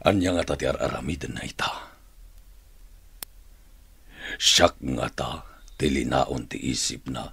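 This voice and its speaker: Very deep, husky brooding jailed man. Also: a little slow, long pauses, a bit bright, exaggerated voice. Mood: pensive. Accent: Ilocano. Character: brooding jailed man, grave object of her love. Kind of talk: Ilocano radio drama acting.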